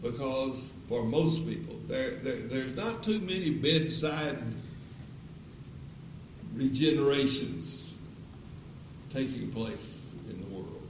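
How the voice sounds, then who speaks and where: Slow, earnest elderly preacher in an echoey hall. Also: male voice, medium pitch, animated. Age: 80s. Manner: slow, earnest, repeated pleading questions. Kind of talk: closing sermon with altar call. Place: church auditorium. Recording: echoey auditorium from a distance with noise.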